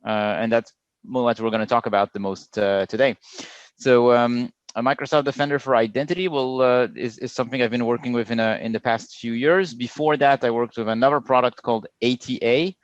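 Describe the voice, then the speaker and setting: A middle-aged Frenchman speaks in easy, filler-laden explanation, his accent clear through the chat. The sound is dry and close, with a slightly muffled, lo-fi edge from a remote call mic. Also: medium pitch, fairly quick, no echo. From France. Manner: explanatory, relaxed, many "uh" fillers. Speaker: guest product manager. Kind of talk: casual podcast chat.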